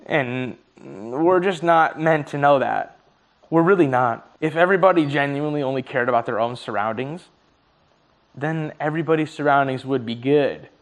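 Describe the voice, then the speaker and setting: A man's voice: mid-range voice, normal speed. A man in his early twenties musing aloud in a casual monologue, swearing freely and laughing at his own slips, his voice starting to tire. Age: 20s. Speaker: vlogger.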